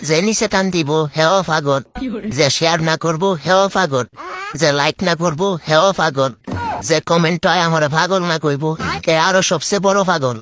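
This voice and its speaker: A man's voice: a little high, normal speed, noisy background. A man voicing a silly teasing prankster in a repetitive chant through a voice-changer filter. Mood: mocking, playful.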